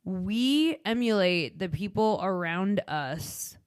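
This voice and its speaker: A woman's voice: medium pitch, animated, slow, clear, resonant tone, dry recording, clean studio sound. A woman in her thirties with a Chicago accent, co-hosting a podcast in casual, candid conversation. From Midwestern United States. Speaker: podcast co-host.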